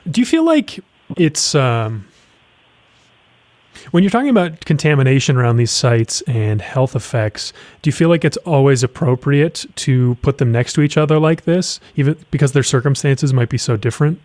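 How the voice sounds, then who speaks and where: A man's voice: medium pitch, animated, normal speed, a little mellow, dry recording. A man hosting a podcast interview, asking conversational questions in a tentative, carefully qualified way.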